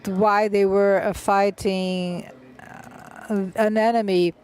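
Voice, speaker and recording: An interpreter voicing a literary festival panel into English on the fly, speaking in short halting bursts with many filled pauses and restarts. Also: mid-range voice, little pitch movement, a little mellow, a little hiss or hum.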